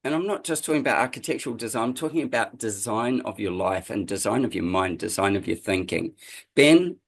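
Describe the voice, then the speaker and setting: A man's voice: fairly low pitch, animated, brisk, gravelly. A man hosting an interview podcast, speaking in a conversational, reflective way. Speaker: podcast host.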